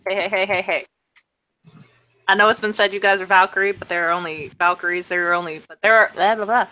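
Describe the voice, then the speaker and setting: A woman's voice: mid-range voice, steady tone, quick pace, sharp tone. A woman playing a tabletop game, chatting bluntly in character with swearing and lots of fillers. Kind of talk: casual in-character roleplay chat.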